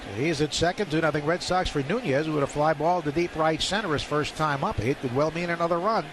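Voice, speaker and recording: High-pitched man calling baseball over loud noise. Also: quick pace. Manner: clipped phrases, rising to excited calls on big plays. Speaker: play-by-play baseball announcer. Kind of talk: live radio sports commentary. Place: ballpark broadcast booth. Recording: live stadium broadcast, loud background noise.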